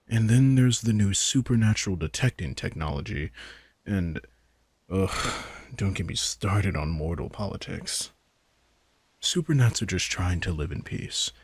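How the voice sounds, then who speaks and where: A man's voice: low-pitched, darkish tone, husky, no room sound, heavily acted. A man playing a sardonic, laid-back vampire king in an intimate audio roleplay, teasing and manipulative as he speaks casually to the listener. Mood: weary, griping. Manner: laid-back, wry, teasing, informal swearing.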